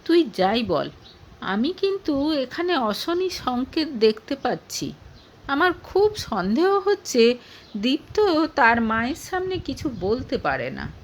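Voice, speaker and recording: A frank young woman playing the wary best friend in a romance story, voiced by a female narrator. She cautions informally in a high voice, with lively intonation. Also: slow, a little hiss or hum, full character voice.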